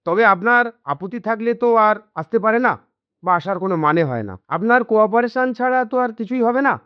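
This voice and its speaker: High-voiced, animated, considerate younger man. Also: moderate pace, dry recording. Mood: polite. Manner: polite, reassuring. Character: considerate, reassuring younger family friend of an old man. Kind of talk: Bengali radio drama acting. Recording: dull lo-fi audio with background music.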